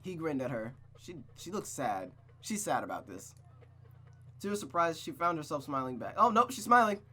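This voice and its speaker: A host reading a visual novel aloud, then breaking off into blunt, excited asides. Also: medium pitch, moderate pace. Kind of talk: narration with casual reactions.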